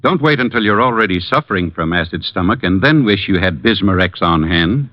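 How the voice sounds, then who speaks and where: Low, animated American radio spokesman. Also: brisk, no echo. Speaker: commercial spokesman. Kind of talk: scripted old radio advertisement. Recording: dull vintage radio recording.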